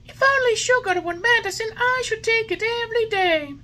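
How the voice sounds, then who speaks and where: A woman doing a shrill whiny puppet boy. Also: normal speed, slight background noise. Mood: whining. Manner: shrill, whining, loud.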